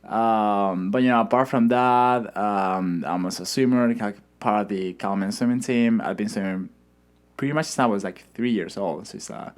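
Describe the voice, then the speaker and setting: Relaxed young man from Spain. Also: fairly low pitch, moderate pace. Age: young adult. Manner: relaxed, hesitant, many fillers and restarts. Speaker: guest, Olympic swimmer. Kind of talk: casual interview talk.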